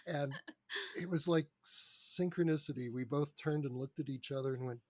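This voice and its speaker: A man's voice: a little high, moderate pace, darkish tone, no echo. A man being interviewed as a podcast guest, speaking in a casual, joking, conversational way. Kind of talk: casual interview chat, storytelling.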